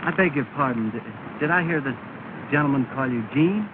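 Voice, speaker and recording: An actor playing a polite, earnest, mild-mannered young gentleman in early 1930s film style. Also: mid-range voice, lively intonation, a little fast, natural voice. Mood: courteous. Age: young adult. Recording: tinny, noisy 1930s film soundtrack.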